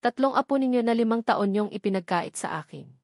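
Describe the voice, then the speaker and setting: Woman as adult son in family melodrama: forceful, wounded, insistent, close dry sound. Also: on the high side, animated, fairly quick, no echo. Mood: accusing anger. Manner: emphatic, accusing, pleading. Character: angry, determined adult son confronting his mother.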